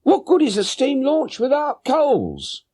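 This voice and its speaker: A man's voice: extremely high pitch, huge pitch swings, takes it slowly, warm tone, husky, pitched above the performer's own voice, full character voice. A man voicing a chatty, flustered working-class London boatman's wife for an audiobook. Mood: worried, puzzled. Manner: chatty, deferential, plain-spoken. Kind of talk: audiobook character voice.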